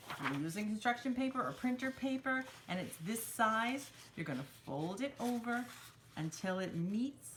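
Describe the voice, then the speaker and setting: Woman with lively pitch hosting kids' craft time. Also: background noise. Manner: simple instructional phrasing, refers to herself in third person. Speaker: children's storytime craft host. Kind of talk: step-by-step craft demonstration for young children.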